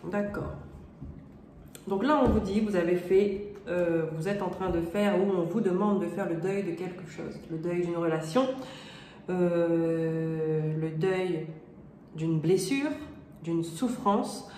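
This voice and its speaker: A woman reading tarot cards aloud while she has a cold, her nose stuffy. Her voice is middle-pitched and she talks in a hesitant, rambling way, often checking in with her listener. The room echoes, with faint noise behind her. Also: takes it slowly, warm tone. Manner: hesitant, many fillers and rephrasings. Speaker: tarot card reader. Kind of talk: casual spoken tarot reading.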